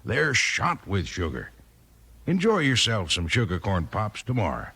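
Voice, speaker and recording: A man's voice: fairly low pitch, huge pitch swings, a little slow, bright, forceful tone, a little hiss or hum. A man reading an upbeat 1950s radio advertisement as a commercial announcer.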